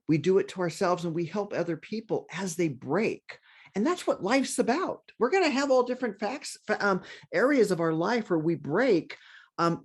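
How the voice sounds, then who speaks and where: A man's voice: mid-range voice, darkish tone. A man presenting an online workshop in casual talk, friendly and rambling, often restarting his sentences. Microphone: video call.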